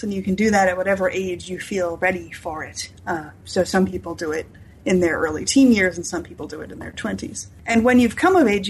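A woman author being interviewed, explaining their fictional world in enthusiastic, detailed lists with a husky voice. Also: medium pitch, rough recording. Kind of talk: unscripted explanatory interview talk.

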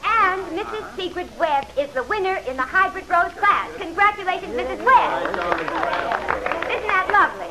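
A woman's voice: very high voice, big pitch swings, moderate pace. An actress playing a gushing, fluttery society lady making formal announcements in an old-time radio drama. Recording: vintage radio recording with background noise.

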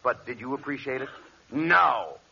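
A man's voice: mid-range voice, animated, normal speed, creaky, sharp tone, breathy edge, exaggerated voice. A man playing an impatient, bossy straight man in a 1940s radio comedy, scolding his partner. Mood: exasperated. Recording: dull vintage radio broadcast.

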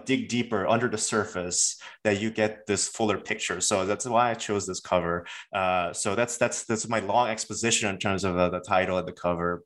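A man's voice: lowish voice, fairly bright tone. A man from South Korea, talking as an author in an academic interview, thoughtful with many fillers and restarts. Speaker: author, guest.

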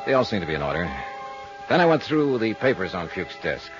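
An actor playing a wry, hard-boiled insurance investigator, narrating and talking in a clipped, dry way in a 1950s radio drama. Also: male voice, fairly low pitch, sing-song, brisk, dull audio. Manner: clipped, terse, dry delivery. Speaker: detective narrator and lead character. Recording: vintage 1950s radio recording with music.

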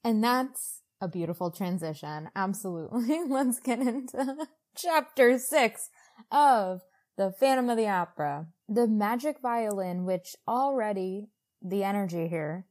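A woman's voice: mid-range voice, animated, measured pace, a little mellow. Casual, wry woman podcaster. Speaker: podcast co-host. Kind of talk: casual book-recap chat with quoted asides.

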